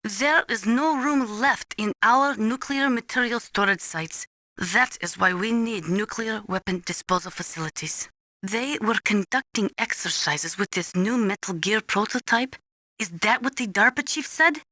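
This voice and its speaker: A serious female military analyst from a video game, with a bright, forceful voice at a medium pitch. She delivers technical briefings precisely and formally, in a dry studio sound. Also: unhurried, no room sound. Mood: grave, informative. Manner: precise, instructive, formal, avoids contractions.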